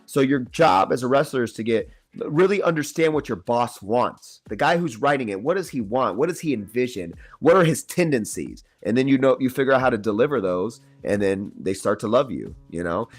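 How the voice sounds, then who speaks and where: A man's voice: mid-range voice, animated, fairly quick, few pauses, faint music behind. A man in his late twenties, a wrestler chatting casually on a livestream in a laid-back, slangy way. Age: 20s. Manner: laid-back, slangy, says "bro" a lot. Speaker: wrestler guest.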